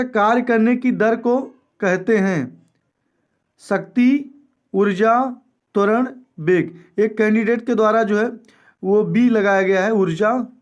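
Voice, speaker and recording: A man teaching an exam coaching lesson, explaining step by step, repeating key statements and mixing in English terms. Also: high voice. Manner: mixes English terms into speech. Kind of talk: explanatory lecture going through exam questions.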